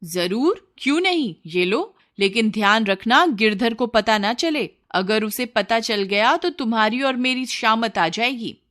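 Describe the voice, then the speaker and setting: A woman's voice: fairly high pitch, animated, measured pace, a bit bright. Chummy scheming village neighbour acted by a woman. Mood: conspiratorial. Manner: chummy, confiding.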